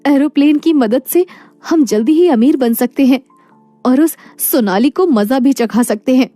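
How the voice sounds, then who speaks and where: Woman acting an earnest, high-voiced poor wife. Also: a little slow, dark tone, dry recording, faint music behind. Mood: excited. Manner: earnest, emotional. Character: poor, proud, devoted young wife. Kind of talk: animated moral story dialogue.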